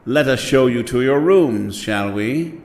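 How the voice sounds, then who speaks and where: The polite master of a spooky mansion in an audio drama. His medium voice is formal and courteous, with clear room echo and faint noise. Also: medium pitch, lively intonation, measured pace, darkish tone. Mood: gracious. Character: courteous, smooth-mannered male host of haunted mansion. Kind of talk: horror audio drama acting.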